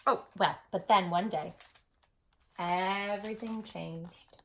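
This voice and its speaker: Bright, expressive woman hosting children's storytime. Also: medium pitch, slow pace. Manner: affectionate, expressive, emphatic storyteller delivery with questions to the listener. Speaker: children's read-aloud host and tutor. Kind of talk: warm storytime talk addressed to young children.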